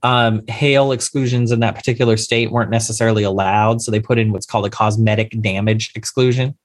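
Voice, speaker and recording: A man's voice: lowish voice, fairly even intonation, fairly quick. A man, a lawyer giving emphatic, step-by-step advice as a guest in an interview. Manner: advisory, emphatic, explains step by step. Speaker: insurance claims lawyer. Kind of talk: podcast interview answer.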